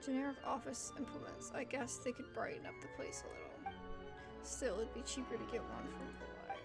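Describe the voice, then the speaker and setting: A woman streamer giving casual, expressive let's play commentary as she reacts to a game. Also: fairly high pitch, brisk, gravelly. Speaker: gaming streamer. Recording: loud music behind the voice.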